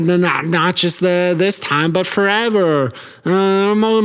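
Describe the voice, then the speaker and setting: A man hosting a podcast with an earnest, folksy manner and halting, stuttering phrasing. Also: high-pitched, smooth. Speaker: podcast host and author. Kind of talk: earnest comic sign-off.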